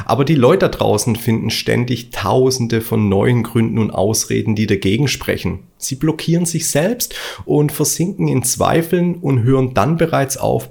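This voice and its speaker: A man's voice: mid-range voice, vocal fry, a little mellow, breathy edge. A man from Stuttgart with a Swabian accent, hosting his own motivational podcast, talking bluntly and colloquially in a preachy solo monologue. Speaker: podcast host.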